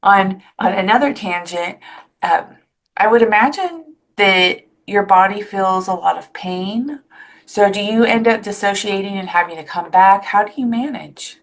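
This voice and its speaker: A woman's voice: mid-range voice, measured pace. Chatty woman podcast host. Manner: chatty, enthusiastic, rambling asides. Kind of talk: casual interview chat.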